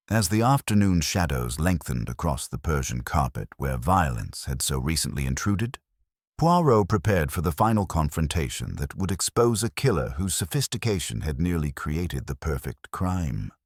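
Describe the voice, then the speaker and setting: Very deep-voiced male narrator. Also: sing-song, moderate pace, dry recording, clean studio sound. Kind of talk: scripted mystery narration.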